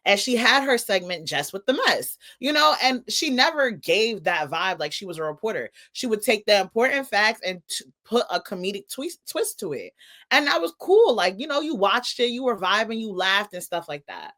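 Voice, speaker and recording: A woman's voice: mid-range voice, big pitch swings, normal speed, pushed and bright. A woman co-hosting a commentary show, giving casual opinions with emphasis and slang.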